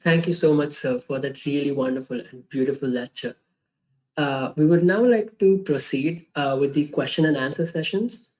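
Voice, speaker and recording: A man with a somewhat high voice hosts a question session, reading prepared questions in a polite, formal way. The audio is slightly dull and lo-fi. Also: moderate pace, darkish tone. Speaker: question-and-answer host.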